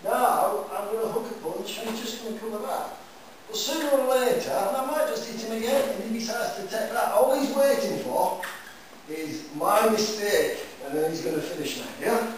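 A man's voice: sits high, normal speed. An older man teaching a karate class in a chatty, loose way, often checking with "yeah?", recorded by a camera far off in a large room. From England. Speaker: karate instructor. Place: large echoey indoor dojo. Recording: echoey hall from far off, noisy.

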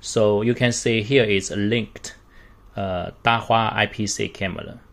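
A man giving an unscripted product walkthrough in halting, short phrases with non-native English grammar. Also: fairly low pitch, fairly even intonation, creaky, a bit bright. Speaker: product demonstrator.

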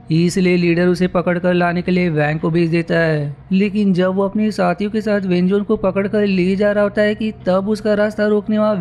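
Male recap narrator, notably high voice, scripted storytelling delivery, clear noise behind the voice. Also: a little mellow.

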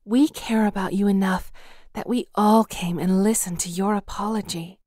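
A woman playing a polite, composed young female cat in a fan audio drama, speaking formally and gently. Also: mid-range voice, steady tone, normal speed, dry recording, clean studio sound, lightly acted. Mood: polite, warm.

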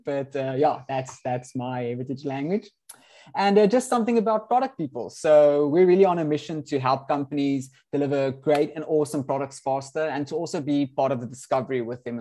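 A man whose first language is Afrikaans opens a webinar over a video call. His voice sits in an ordinary middle pitch. He speaks in a friendly, loose way, leaning on frequent ums. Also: mellow. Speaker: host. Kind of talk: webinar introduction.

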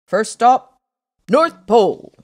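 A woman's voice: a little high, lively intonation, slow. A woman voicing a small, cool, eager male cat from a children's storybook, with a laid-back, earnest delivery. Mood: excited.

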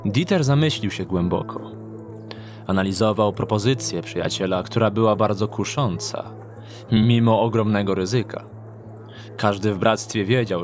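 A man reading scripted fantasy story narration as the narrator of an audio drama. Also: fairly low pitch. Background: music.

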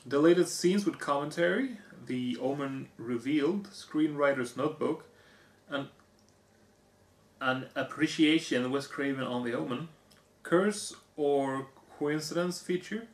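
A hoarse young man on a living room couch, casually reading off movie box features. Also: a little high, measured pace. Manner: reads off lists, offhand comments. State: hoarse, losing his voice. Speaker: vlogger. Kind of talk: casual unboxing chat. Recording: echoey room.

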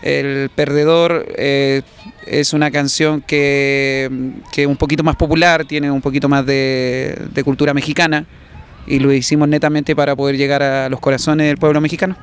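A man's voice: mid-range voice, flat delivery, normal speed, clean tone. A young man with a Chilean accent, a singer answering casually in a promotional interview outdoors into a handheld microphone. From southern Chile. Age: young adult. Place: outdoors in an open public plaza. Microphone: handheld reporter microphone held by interviewer.

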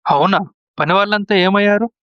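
A man's voice: sits high, brisk, clear, resonant tone, no room sound, dull audio, close to an everyday voice. A man playing a trusting, easily swayed younger brother and husband in a moral story drama, earnest and questioning. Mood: concerned.

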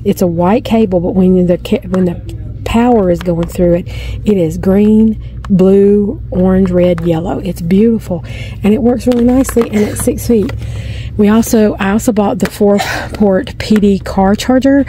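A middle-aged woman filming herself shopping in a large store, chatting casually and getting excited over finds as she goes. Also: mid-range voice, moderate pace, a little hiss or hum, rough recording. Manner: chatty, easily excited, thinking aloud. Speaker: shopping vlogger. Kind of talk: casual shop-with-me narration. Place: inside a large discount store. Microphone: handheld phone camera filming her hands.

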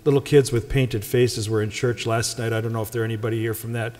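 An older man with an Upper Midwest American accent speaks as pastor from a lectern microphone in a large church. His middle-pitched voice moves from Gospel reading into joking, conversational remarks. The sound trails off in a long churchy reverb. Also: steady tone, fast, darkish tone.

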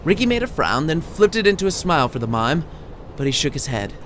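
A man's voice: on the high side, very animated, fairly quick, a bit bright, slight background noise. A man reading his own short story as scripted narration, with a dramatic, playful storytelling delivery. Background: music under the voice.

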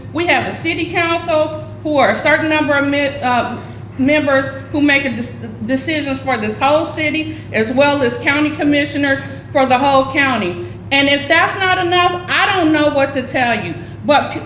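A woman in her fifties speaks into a table microphone at a public meeting, emphatic and insistent, hammering her points again and again. Her voice sits high and rings bright. The hall adds a roomy echo with faint noise underneath. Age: 50s. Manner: forceful, emphatic, repeats points. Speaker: committee member. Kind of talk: impassioned public meeting remarks. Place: large community center meeting room.

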